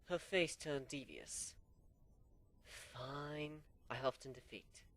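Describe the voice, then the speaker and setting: A man narrating a fan story in the first person as an amateur dramatic reading, animated and sinking low for suspense. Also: a little slow. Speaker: story reader.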